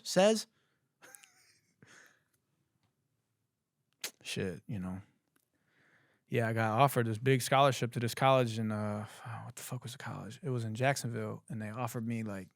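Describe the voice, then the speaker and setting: A young man hosting a podcast, ranting in disbelief with lots of swearing and laughter, a little hoarse. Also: mid-range voice, no room sound, studio quality. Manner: profane, laughing, incredulous ranting. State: hoarse, says he has lost his voice. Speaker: podcast host. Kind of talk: casual comedic commentary.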